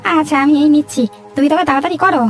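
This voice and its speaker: A woman's voice: high voice, steady tone, fast talker, faint music behind. A woman voicing a timid, easily led village accomplice in a cartoon, hesitant and whiny. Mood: nervous, compliant. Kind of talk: cartoon voice acting in a moral story.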